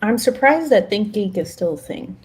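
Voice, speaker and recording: A woman's voice: mid-range voice, animated, moderate pace. Casual woman co-hosting a podcast. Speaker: podcast co-host.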